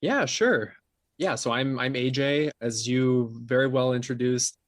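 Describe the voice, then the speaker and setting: A man's voice: medium pitch, unhurried, no room sound. Young man chatting casually, dry sound. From São Paulo, Brazil. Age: young adult. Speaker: podcast guest, composer and sound designer. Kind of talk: casual interview talk.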